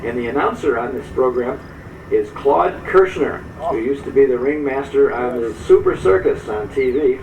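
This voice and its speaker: An older man with a medium-pitched voice hosts a radio show in a folksy, conversational style. He sounds appreciative and easygoing in his wording. The broadcast is echoey, with clear train noise behind him. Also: normal speed, darkish tone, far from the mic. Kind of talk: casual live radio interview and hosting. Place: open back platform of train car. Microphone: broadcast microphone. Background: train and railway noise.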